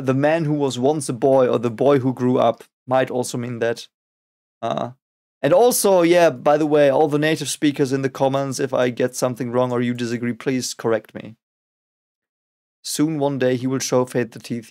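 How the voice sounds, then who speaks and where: Raspy man musing over lyrics. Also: mid-range voice, a little mellow. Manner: musing, halting, repeats words often. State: voice raspy from a cold. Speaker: reaction video host. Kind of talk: casual reaction and lyric analysis.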